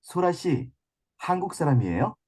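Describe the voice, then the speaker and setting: A woman reading her lines in a scripted listening-practice dialogue, clear and deliberate. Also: on the low side, very animated, mellow.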